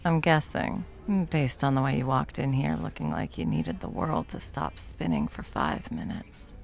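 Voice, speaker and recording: Casting: actress as confident, teasing female bartender for ASMR roleplay. Very deep, creaky voice, intimate delivery. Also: very animated, exaggerated voice. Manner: teasing, unhurried, soft chuckles, dropping toward whispers. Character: confident, flirtatious, protective hot female bartender. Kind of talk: ASMR roleplay, intimate one-sided conversation.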